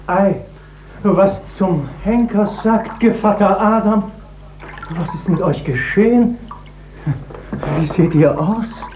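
An actor playing a sly, mocking court clerk with pointed theatrical delivery in a 1950s German radio play. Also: male voice, high-pitched, slow, pauses often. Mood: mocking curiosity. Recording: dull, noisy 1950s radio recording.

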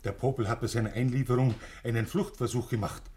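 A man plays a dutiful prison guard in a radio play. His medium-pitched voice is official and deferential. He reports and instructs with obedient formality. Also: fairly even intonation, quick pace, warm tone, lightly acted. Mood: dutiful, watchful. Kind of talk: German radio play acting.

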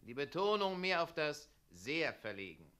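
An actor playing a fussy, officious town mayor in a radio play, pedantic, bossy and unctuous. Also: male voice, a little high, very animated, measured pace, fairly bright tone, clean tone. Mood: bossy coaching.

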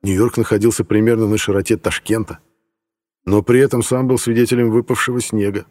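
A man's voice: fairly low pitch, mellow, in a large hall. A man reading first-person fiction as a scripted audiobook narration.

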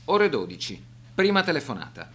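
Narrator, male: scripted documentary radio drama, grave and measured, somewhat high register, animated contours.

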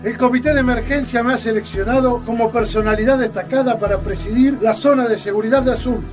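A radio drama historian, pompous and ceremonious. His voice sits high for a man and his phrasing is grandly formal. Light music plays underneath. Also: fairly even intonation, fast. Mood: solemn, proud. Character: pompous, ceremonious historian presiding over a band of survivors.

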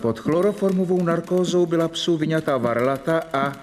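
A man's voice: a little high, a little mellow, soft background music, close to an everyday voice. A man acting in a radio play as an earnest, deferential medical assistant who speaks precisely and meticulously. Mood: clinical, solemn. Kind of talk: radio drama acting, diary narration.

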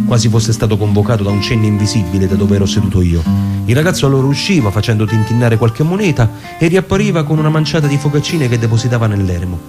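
A man performing a dramatic scripted narration in a theatrical, musing manner, with deliberate pauses. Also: on the low side. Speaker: performer reading a literary narration. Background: plucked guitar music.

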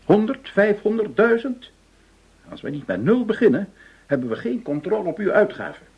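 An actor in a Dutch radio play playing a stiff, indignant, penny-pinching government finance official with a pompous, prim way of speaking. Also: male voice, high voice, very animated, moderate pace. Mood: stern, grudging. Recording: dull, muffled radio drama recording.

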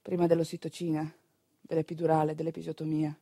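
Clear-voiced woman, dramatic theatrical storyteller. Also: low voice, dry recording, clean studio sound. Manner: dramatic, expressive delivery with deliberate phrasing. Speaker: stage actress and narrator.

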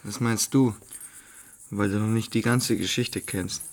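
Giddy, intoxicated, stammering singer. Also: male voice, fairly low pitch, steady tone, a little slow. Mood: dreamy, rapt. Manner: stammering, repeats words, breaks into giggles. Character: young intoxicated singer, giggly, confiding, enraptured storyteller. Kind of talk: German radio play acting.

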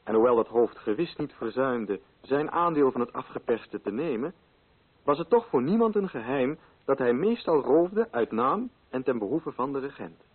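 An actor playing a sober literary narrator, telling a story in scripted radio drama style. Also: male voice, mid-range voice, sing-song, moderate pace, breathy edge. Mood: sober, solemn. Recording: muffled old radio recording.

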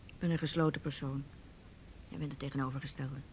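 A low-pitched woman in a radio drama, guarded and weary. She speaks in curt, reserved phrases. There is faint noise on the recording. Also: little pitch movement, fast talker, a little mellow, poor audio. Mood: irritated, guarded. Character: guarded, weary woman providing for younger dependant. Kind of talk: naturalistic Dutch radio drama acting.

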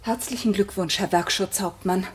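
A woman's voice: medium pitch, moderate pace, background noise, close to an everyday voice. An actress in a German radio drama playing a disillusioned woman who confronts her partner with dry, cutting reproach. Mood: bitter sarcasm.

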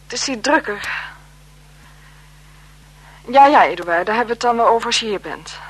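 A woman's voice: on the high side, animated, normal speed, slightly rough, poor audio. An actress playing a formal, guarded French marquise, a bored wife and mother, in a 1970s Dutch radio drama. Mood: affectionate, restless. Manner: formal, hesitant, evasive with her companion.

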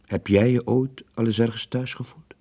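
An actor playing a weary, lonely sailor in his late forties, gentle but evasive, with a husky voice and a subdued, hesitant manner in a radio drama. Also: medium pitch, fairly even intonation, normal speed, close to an everyday voice. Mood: reluctant. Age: late 40s.

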